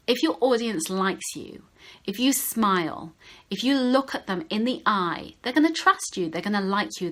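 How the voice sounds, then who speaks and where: A woman with a high-pitched voice giving advice straight to the camera as a speaking coach, talking slowly and stressing key words. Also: a bit bright. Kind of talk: direct-to-camera advice talk.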